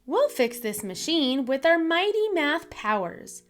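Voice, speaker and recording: A woman's voice: high voice, sing-song, unhurried, voice pushed up. A woman voicing a confident, can-do little boy hero in a children's storybook read-aloud. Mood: reassuring.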